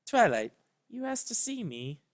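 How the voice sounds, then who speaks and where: A man voicing a high, melodic regal mare goddess. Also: big pitch swings. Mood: composed, pleasant. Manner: melodic, measured, composed. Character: regal, gentle, motherly female alicorn sun goddess. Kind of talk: fan fiction storytelling.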